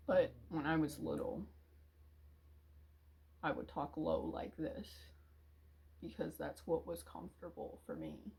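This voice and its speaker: Hesitant low-voiced woman confiding. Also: vocal fry, darkish tone, husky. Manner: hesitant, emotional, many restarts and sighs. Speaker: YouTuber. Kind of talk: confessional monologue.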